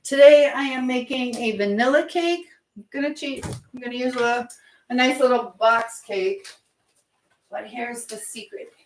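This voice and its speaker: A woman's voice: fairly high pitch, unhurried. A woman chatting casually to livestream viewers while she cooks at home, distracted and hopping between tasks and comments. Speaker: live streamer. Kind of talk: casual chat with live viewers while cooking. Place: home kitchen. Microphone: phone livestream, moving nearer and farther. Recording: echoey kitchen with faint background noise.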